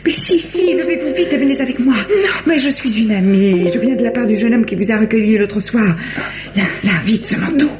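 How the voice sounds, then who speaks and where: A woman playing a brisk, resourceful rescuer in an old French radio mystery, by turns commanding and reassuring. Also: a little high, lively intonation, lightly acted. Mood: urgent. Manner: urgent commands, soothing assurances. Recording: dull old radio recording, faint noise.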